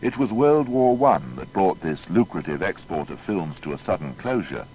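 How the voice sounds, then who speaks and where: A man narrating a scripted biography in a formal, measured storytelling manner. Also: low voice, big pitch swings, a little mellow, slight background noise.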